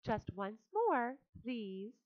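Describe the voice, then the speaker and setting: A woman's voice: on the high side, very slow, no room sound, pitched above the performer's own voice, heavily acted. A woman voicing a kind, wise female barn spider, coaxing and polite. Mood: urging, warm. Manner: bright, lively, coaxing and polite. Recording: dull lo-fi audiobook recording.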